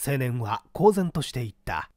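A man narrating a mystery story in a deliberate, dramatic reading style. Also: medium pitch, big pitch swings, darkish tone, no echo, clean studio sound.

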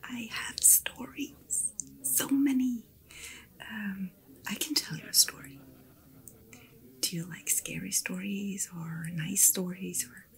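A woman voicing a kind, attentive fantasy innkeeper in an ASMR roleplay, speaking in a soft, soothing whisper. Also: medium pitch, takes it slowly, long pauses, rough recording. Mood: gentle, soothing.

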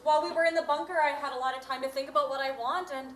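A woman playing a very high-pitched, fed-up wife. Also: fairly even intonation, rapid-fire, fairly bright tone. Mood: firm, blunt. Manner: blunt, insistent, exasperated. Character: fed-up apocalypse survivor wife, blunt and determined. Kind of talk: live two-person comedy stage sketch. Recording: echoey stage with faint noise.

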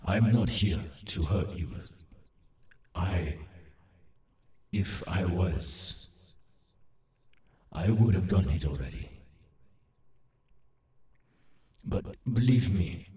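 A man voicing a halting, urgent demon in an ASMR roleplay, repeating himself in broken phrases and insisting he is harmless. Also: low-pitched, a little mellow, reverberant, far from the mic, a little hiss or hum. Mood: hesitant, reassuring.

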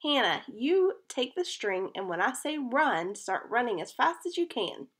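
Storybook mom, patient and gently teasing, lively voice, read by a woman. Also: a little high, a little slow, slightly rough, pitched above the performer's own voice, heavily acted. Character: patient, playful mother figure, adult woman.

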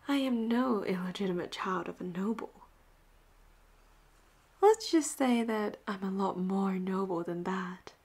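A flirty, commanding pirate captain, acted by a woman for roleplay audio. She has a breathy, husky voice and takes her time, slipping often into a whisper as she teases and claims her listener as her own. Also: medium pitch, a little mellow. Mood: teasing, tender. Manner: teasing, drawn-out endearments, often drops to a whisper.

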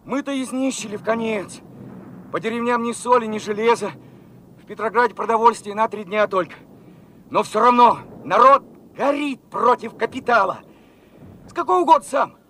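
A very high, bright voice as a young revolutionary soldier at the front. He is fervent and eager, speaking in plain folksy words and firing off questions, in the manner of Soviet radio drama. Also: normal speed, full character voice. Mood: eager, curious. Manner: excited, colloquial, eager questioning. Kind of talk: Soviet radio play acting.

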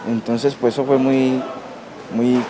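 A man's voice: medium pitch, flat delivery, slow pace, background noise. A nineteen-year-old man with a Colombian accent from Valle del Cauca, speaking plainly and informally as an athlete in a documentary interview. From Yumbo, Valle del Cauca, Colombia. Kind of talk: documentary interview, personal account. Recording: music under the voice. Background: music.

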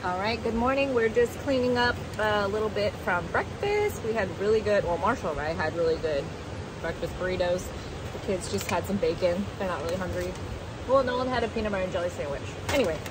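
A woman's voice: mid-range voice, brisk. A woman in her thirties chatting casually while cooking breakfast at an outdoor campsite, filmed on the camera's own mic. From Oregon. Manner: relaxed, chatty. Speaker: vlogger. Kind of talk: casual camp vlog narration. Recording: outdoor camera audio with clear background noise.

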